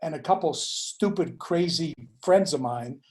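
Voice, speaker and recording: A man from California chatting casually at a meeting, telling a story with laughs and halting fillers. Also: fairly high pitch, animated, a little mellow. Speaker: meeting participant. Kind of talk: casual chat.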